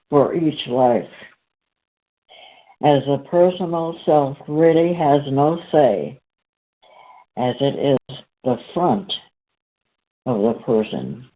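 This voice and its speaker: A host reads aloud very slowly and deliberately, breaking sentences into short phrases. The voice comes through a thin, narrow-band line, like a phone call. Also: mid-range voice. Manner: halting, deliberate, pausing between phrases. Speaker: host and reader. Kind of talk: slow read-aloud and discussion.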